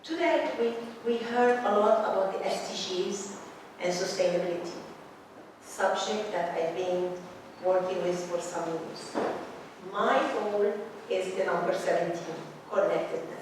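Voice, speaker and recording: A woman's voice: lowish voice, measured pace. A middle-aged woman, a psychotherapist and mentor, giving an earnest, reflective conference talk. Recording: echoey hall, mic far off, background noise.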